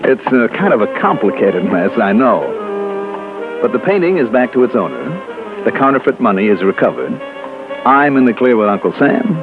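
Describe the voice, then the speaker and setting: A man playing a brisk, wry, self-assured insurance investigator in 1960s radio drama, with a clipped delivery. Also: medium pitch, sing-song, music in the background. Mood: breezy. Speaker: lead actor in a radio detective series. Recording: muffled, noisy old radio recording.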